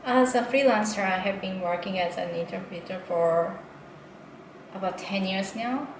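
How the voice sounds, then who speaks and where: A woman's voice: mid-range voice, moderate pace. A woman, speaking as an interpreter being interviewed, hesitant with many fillers and frequent laughs. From Japan. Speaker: interpreter guest. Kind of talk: casual interview. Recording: echoey room with noise.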